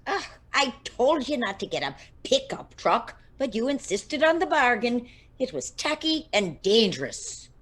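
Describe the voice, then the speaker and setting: A woman's voice: a little high, sing-song, a little slow, a bit bright, full character voice. Theatrical, swooping diva acted by a woman. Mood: exasperated. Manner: dramatic, affected. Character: Mary, vain theatrical diva wife, easily flattered. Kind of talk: radio drama acting.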